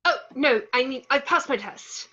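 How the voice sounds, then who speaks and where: Casting: woman as nervy, earnest young friend in a comedy film read. High, very animated, slightly muffled. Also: moderate pace, full character voice. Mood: anxious, chirpy. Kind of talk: amateur table read.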